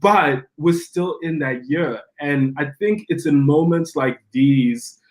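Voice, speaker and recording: A young adult man from Zimbabwe, a pastor, telling his story in a casual podcast chat, animated, often restarting and acting out quoted dialogue. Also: fairly high pitch, slow pace.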